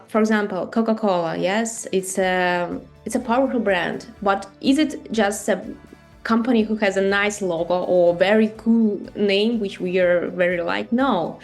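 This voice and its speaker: A woman from Russia with a Russian accent, giving an online marketing master class in a conversational way, with many fillers and rhetorical questions. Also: mid-range voice. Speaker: marketing and branding instructor.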